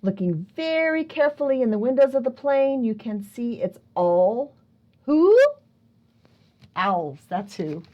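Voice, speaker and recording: A woman's voice: a little high, expressive, measured pace, lo-fi sound. A woman hosting a picture book storytime for young children, playfully asking questions and exclaiming with wonder. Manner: playful, prompting listeners with questions. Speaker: children's librarian and storytime host. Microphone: speaking close to a camera.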